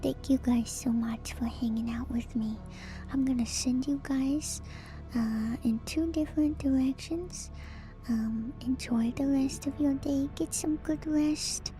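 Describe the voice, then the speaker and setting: A woman streamer giving a gentle, soothing ASMR chat in a soft, breathy whisper, close to the microphone. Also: high voice, fairly even intonation, measured pace, faint music behind. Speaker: streamer and host. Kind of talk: ASMR livestream sign-off chat.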